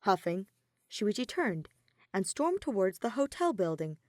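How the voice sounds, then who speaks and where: A woman's voice: medium pitch, sing-song, a little slow, a little mellow, dry recording, studio quality. A woman reading scripted fiction aloud as an audiobook narrator.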